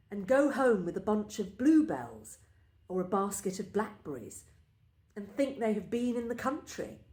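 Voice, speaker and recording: In a roomy, echoing space, a woman reads scripted fiction aloud. Her delivery is slow and deliberate, with lively rises and falls in pitch. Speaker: reader of short stories. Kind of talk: expressive scripted story reading. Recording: echoey, roomy indoor sound.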